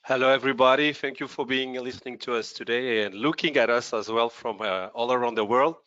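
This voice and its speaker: Smooth-voiced man. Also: medium pitch, a little fast, a bit bright, no room sound, dull audio. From France. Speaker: real estate panelist. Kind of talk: online webinar introduction.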